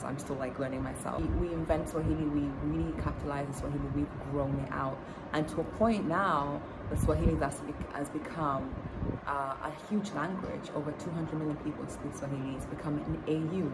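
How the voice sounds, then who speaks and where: Woman from Tanzania, young adult, low voice, chatty vlog, loud background noise. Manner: chatty, with frequent fillers and restarts. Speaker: vlogger. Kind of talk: casual storytime vlog.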